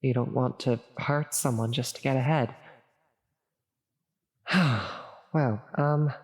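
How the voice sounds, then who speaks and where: A woman's voice: extremely low pitch, fairly even intonation, full character voice. A woman playing a flustered, well-meaning female demon tempter in an ASMR audio roleplay, hesitant and stammering as she coaxes. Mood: persuading, puzzled. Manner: hesitant, halting, stammering, coaxing. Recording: long reverberant echo on the voice.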